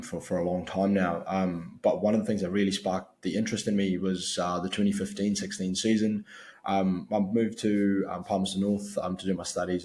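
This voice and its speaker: A young man who grew up in New Zealand co-hosts a casual podcast, with the accent to match. His voice is low. He speaks haltingly, with frequent fillers as he gathers his thoughts. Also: darkish tone. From born in Sri Lanka, grew up in New Zealand. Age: young adult. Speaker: podcast co-host. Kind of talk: casual chat.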